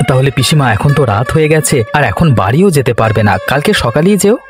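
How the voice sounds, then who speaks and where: A man voicing an excitable, earnest village husband and nephew in cartoon dialogue. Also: on the high side, fast talker, over music. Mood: delighted.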